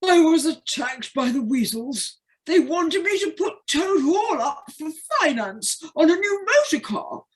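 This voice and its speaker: A woman's voice: high-pitched, expressive, a little slow, hardly any pauses. A woman voicing a spoilt, foolish, boastful toad in an audio play, naive and prone to stammering. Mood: indignant. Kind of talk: community audio play acting. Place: living room, recorded over video call.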